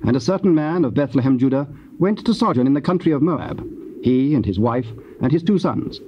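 A man narrating scripture in a solemn, measured, formal style as part of an audio drama. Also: mid-range voice, expressive, close to an everyday voice. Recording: muffled hissy old cassette tape.

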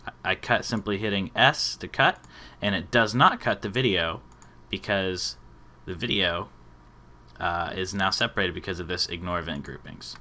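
A man hosts an informal software tutorial, explaining step by step. His voice sits a little low and has a bright, forward edge. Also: moderate pace. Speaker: tutorial host.

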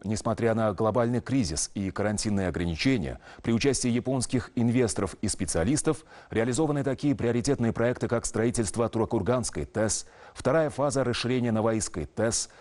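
A man in his thirties reading scripted news as an anchor in a formal, official, measured manner. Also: lowish voice, a little mellow. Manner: measured, official, clearly enunciated delivery. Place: television news studio.

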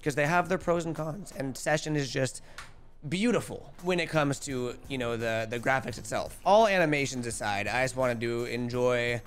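A young man in his twenties streaming a skateboarding game, chatty and energetic, calling out his tricks, a bit hoarse. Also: mid-range voice, moderate pace. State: losing his voice. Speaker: gaming streamer. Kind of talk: live gameplay commentary. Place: home streaming room. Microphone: headset.

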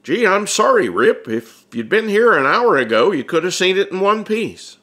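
A man's voice: high-pitched, very animated, normal speed, pushed and bright, voice pushed up, heavily acted. A man voicing a hearty, friendly working-class man who talks in a folksy, eager way in an audiobook. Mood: apologetic. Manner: folksy, eager, plain-spoken. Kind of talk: audiobook character dialogue.

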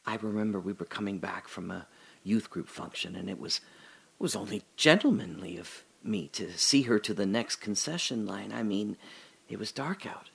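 A man performing a reflective audio drama monologue, speaking slowly and often dropping to a whisper. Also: lowish voice, expressive. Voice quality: slow, gravelly and husky, often dropping to a whisper. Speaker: radio drama narrator and lead.

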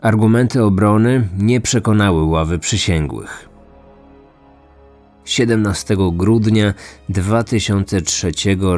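Low-voiced male true-crime narrator. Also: a little mellow. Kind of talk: scripted narration. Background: faint music.

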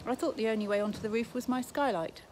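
A woman reading a story aloud in her garden, voicing a frightened but brave young girl who asks sharp, indignant questions. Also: on the high side, fast talker, slight background noise, pitched above the performer's own voice, natural voice. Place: garden outdoors.

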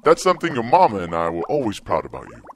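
Man playing a lowish-voiced, encouraging dad. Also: huge pitch swings, fast talker, background noise. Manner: earnest, reassuring, fatherly speechifying. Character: kindly, encouraging middle-aged father. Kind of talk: comedic audio drama acting.

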